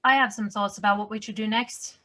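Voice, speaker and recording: A woman's voice: mid-range voice, steady tone, brisk, pushed and bright, natural voice. A woman playing an anxious but determined wife in a table-read drama. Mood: earnest, determined. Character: anxious, determined wife wanting to find her husband.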